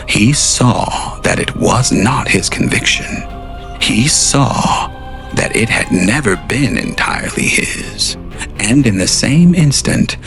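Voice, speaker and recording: A man tells a scripted audio drama in a deep-leaning voice, measured and dramatic, at times sinking into hushed near-whispers. Soft music plays faintly beneath him. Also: creaky. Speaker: narrator.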